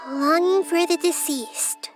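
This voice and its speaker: High-voiced, gentle young goddess. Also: female voice. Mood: earnest, advising. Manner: thoughtful, measured, softly earnest. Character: gentle childlike wise female deity, earnest and curious. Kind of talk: video game character dialogue. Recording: background music behind game dialogue.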